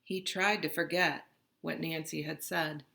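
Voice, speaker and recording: Woman storybook narrator, unhurried and dramatic, with a low-pitched, crisp-edged bright delivery. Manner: expressive, dramatic reading. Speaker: storybook reader. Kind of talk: read-aloud narration of a children's picture book.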